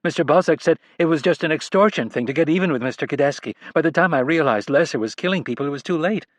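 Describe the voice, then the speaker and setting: A male narrator voicing a frightened young female illusionist, pleading in a rushed, tearful way. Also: a little high, animated, dry recording, voice pushed up.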